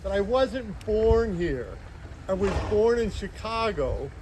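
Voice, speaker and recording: Actor as folksy 1940s ballplayer, proud storyteller. Slow, high-pitched male, emphatic; noisy outdoor sound. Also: very animated, heavily acted. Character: 1940s minor-league baseball slugger, cocky, folksy, rueful. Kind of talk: first-person historical monologue. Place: outdoors in a cemetery.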